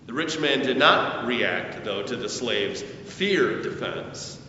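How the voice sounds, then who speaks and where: An older man, a pastor, preaching a sermon with deliberate, emphatic phrasing and stressed pauses. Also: expressive, sharp tone. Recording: echoey hall, distant mic, muffled, faint rumble.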